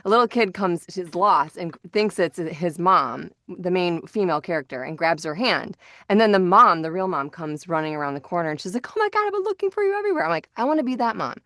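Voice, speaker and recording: A woman's voice: fairly low pitch, big pitch swings, fairly quick, fairly bright tone, dry recording, clean studio sound. A woman hosting a casual chat, rambling and often interrupting herself. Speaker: host.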